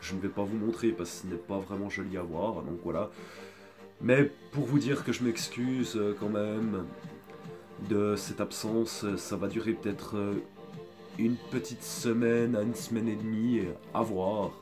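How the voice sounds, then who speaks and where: A man's voice: fairly low pitch, fairly even intonation. Sick, hesitant man over background music. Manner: hesitant, rambling, many pauses. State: sick with a cold and sore throat. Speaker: video creator. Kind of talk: casual apologetic vlog. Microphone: phone.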